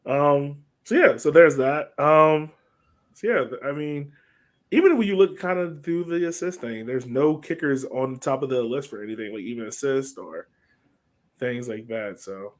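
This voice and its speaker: A man's voice: medium pitch, lively intonation, normal speed. A man chatting casually as the host of a sports podcast.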